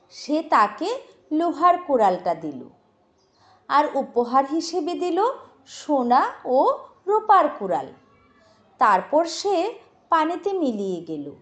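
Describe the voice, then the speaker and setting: A woman narrating a scripted children's story as a storyteller, reading aloud simply and expressively. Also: high-pitched.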